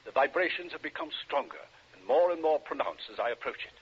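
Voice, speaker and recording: A grave, pompous ghost-hunting doctor in a 1940s radio drama. His voice is slightly high and rough-edged, with formal, deliberate phrasing. The old recording sounds muffled. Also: expressive. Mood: intent, formal. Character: solemn, self-important psychic ghost hunter, a learned doctor.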